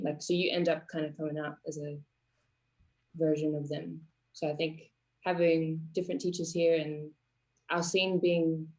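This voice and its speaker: A woman, sharing measured thoughts as a panelist. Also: low voice, darkish tone, low-quality recording. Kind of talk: casual panel chat.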